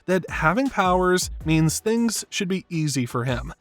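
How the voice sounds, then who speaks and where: A man's voice: high voice, very animated, measured pace, soft background music. A man reading scripted video-essay narration in an emphatic, opinionated way.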